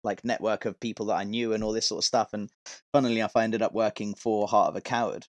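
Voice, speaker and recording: A man's voice: lowish voice, flat delivery, fast talker, no echo, highs cut off. A man chatting casually as a podcast guest, telling stories in an informal, rambling, sweary way. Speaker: podcast guest, touring metal vocalist and tour manager.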